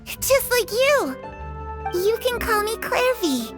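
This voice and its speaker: Very high, slow-speaking little ghost girl. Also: big pitch swings, over music, exaggerated voice. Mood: friendly. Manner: slow, deliberate, cryptic. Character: gentle, eerie little ghost girl, friendly and ominous. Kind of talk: video game voice acting.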